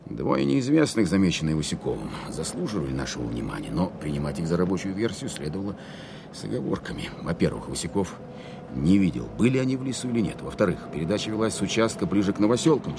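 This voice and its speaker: An actor playing a sober wartime officer, narrating his search in a measured, deliberate way for a radio play. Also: rumbling low, vocal fry, noisy background, exaggerated voice. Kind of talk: first-person dramatic narration, radio play.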